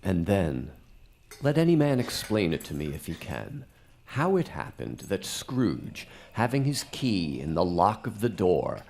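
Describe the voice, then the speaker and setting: A man's voice: low-pitched, very animated, a little slow, a little mellow. A man narrating a live radio drama in a dramatic storytelling style. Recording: faint background noise, live stage.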